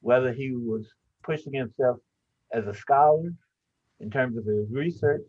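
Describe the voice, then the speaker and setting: Brief: older academic man in his sixties, measured storytelling, tinny call audio. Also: mid-range voice. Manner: frequent "uh" fillers, measured storytelling. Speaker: professor giving a tribute. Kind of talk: personal tribute speech.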